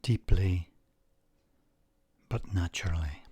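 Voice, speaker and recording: A man narrating a guided meditation in a measured way, leaving long pauses between short phrases. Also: deep voice, dark tone, breathy edge, no room sound.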